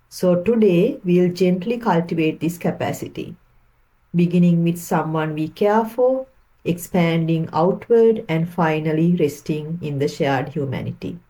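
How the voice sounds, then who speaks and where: A woman leading a guided meditation, speaking in a gentle, calming way with unhurried phrasing. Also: fairly low pitch, poor audio.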